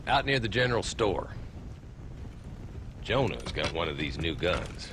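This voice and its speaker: An actor playing a tired frontier town marshal in a western game, speaking dryly with wry humor. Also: male voice, sits low, sing-song, measured pace, fairly bright tone, slight background noise, full character voice.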